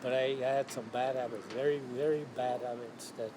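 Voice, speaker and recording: A man's voice: mid-range voice, fairly even intonation. Older Bahamian man on a noisy street. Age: 70s. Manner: reflective, frank, halting with restarts. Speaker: interviewee. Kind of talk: candid street interview. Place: outdoors on a city street. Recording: loud street traffic noise. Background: city traffic.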